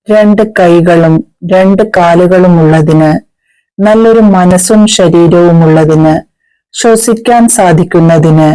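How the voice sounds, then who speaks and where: A woman leading a guided meditation as a teacher, in a measured, soothing manner with pauses. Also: on the low side, warm tone, rough recording.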